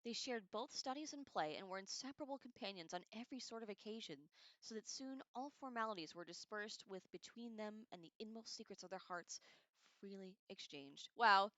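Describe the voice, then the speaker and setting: A woman hosting a livestream, casually reading aloud and breaking off into irreverent, sarcastic, slangy commentary in an expressive way. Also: mid-range voice, brisk, dry recording, poor audio. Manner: expressive, animated asides. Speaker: livestream host.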